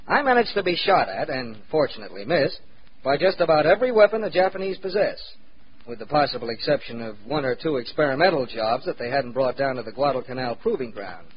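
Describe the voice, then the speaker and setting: A man's voice: mid-range voice, big pitch swings, lightly acted. An actor playing a wry, plucky American war correspondent, narrating his experiences in a dramatic 1940s radio style. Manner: dramatic, measured, wry understatement. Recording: muffled, noisy 1940s radio recording.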